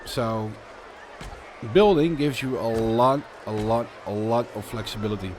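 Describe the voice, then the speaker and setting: A man's voice: lowish voice, unhurried, darkish tone, slight background noise. A Dutch man with a Dutch accent, chatting casually through live gameplay commentary and answering viewer questions as he builds. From Netherlands. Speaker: streamer.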